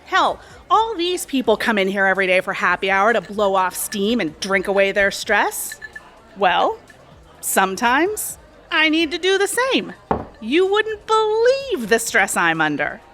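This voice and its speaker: A woman's voice: mid-range voice, sing-song, a little slow, a bit bright, soft background music. An actress playing a chatty, pushy, sly cocktail waitress in her thirties, breezy and overly familiar, in an audio drama. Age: 30s. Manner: breezy, familiar, insinuating, talkative.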